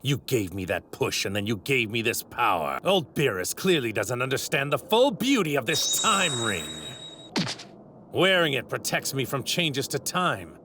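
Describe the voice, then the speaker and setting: A man's voice: medium pitch, very animated, a little slow. A man voicing an arrogant, godlike villain in an anime dub, pompous and taunting, savoring his grand words. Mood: gloating, grandiose. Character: arrogant divine villain in a stolen warrior body. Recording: ripped voice track with clear background noise.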